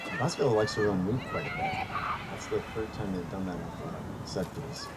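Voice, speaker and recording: A man's voice: lowish voice, wildly swooping pitch, normal speed. An American man giving casual rugby commentary and explaining the rules as play goes on, with many fillers. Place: outdoor sports field. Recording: outdoor with loud wind on the mic.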